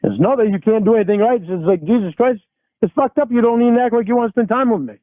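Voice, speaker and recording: Brief: man on a tinny dry phone line, high voice, angry and cursing. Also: fast talker, dry recording. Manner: angry, pleading, swears a lot. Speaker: caller on a recorded phone call. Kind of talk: heated phone argument.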